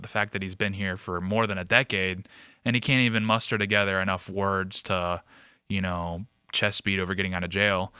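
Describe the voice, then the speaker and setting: Male commentator, lowish bright voice, clear and close-up dry sound, casual sarcastic riffing. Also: no echo. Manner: sarcastic, mocking. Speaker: guest host of a political commentary show. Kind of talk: casual off-the-cuff political commentary.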